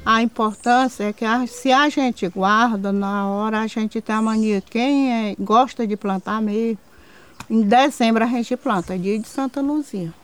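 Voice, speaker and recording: Colloquial rural Ceará woman, high-ish voice. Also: a little slow. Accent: rural Ceará, Northeastern Brazilian. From rural Ceará, Brazil. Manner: rambling, colloquial. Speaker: farmer interviewee. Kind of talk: casual anecdotal talk.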